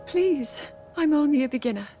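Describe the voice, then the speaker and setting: A woman's voice: high-pitched, expressive, moderate pace, mellow, faint music behind. An actress playing a modest, unsure young princess in a video game, polite and hesitant in her delivery. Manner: hesitant, self-doubting, polite. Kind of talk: video game cutscene acting.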